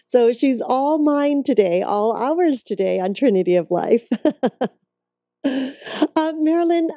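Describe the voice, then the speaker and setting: A woman hosts a wellness interview program. Her voice is deeply mellow and warm-toned, and the sound is dry, with no room echo. She is gracious and laughs readily with her guest. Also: expressive, slow. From Hong Kong. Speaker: host. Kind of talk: talk show interview.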